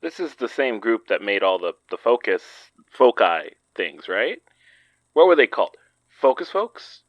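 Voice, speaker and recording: A wry, easygoing investigator in a horror podcast drama. The voice is low and casual, in a dry, slightly muffled recording. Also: male voice, big pitch swings, a little slow, dry recording. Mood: joking. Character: easygoing, joking man investigating weird events. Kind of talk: naturalistic horror audio drama dialogue.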